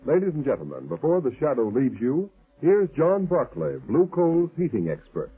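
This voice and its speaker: Animated, rough-voiced vintage radio announcer, male. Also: fairly high pitch, normal speed, faint music behind. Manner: formal, emphatic. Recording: very muffled, rough old radio recording.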